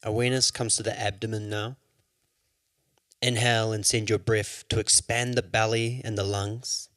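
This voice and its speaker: A man leading a guided yoga nidra meditation as an instructor, with soothing, measured instructions. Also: fairly low pitch, flat delivery, a bit bright, no room sound, studio quality.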